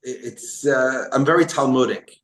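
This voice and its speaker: A man who is a comedian, talking casually in an interview, halting with many restarts and fillers. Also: medium pitch, a bit bright, roomy sound. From Brooklyn, New York. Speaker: comedian guest.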